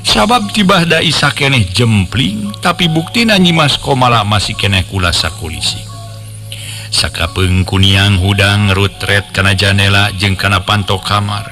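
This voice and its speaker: A man's voice: on the low side, animated, moderate pace, pushed and bright. A man with a Sundanese accent telling a folk tale in a conversational way, with playful rhetorical questions and asides to his listeners. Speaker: storyteller. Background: background music.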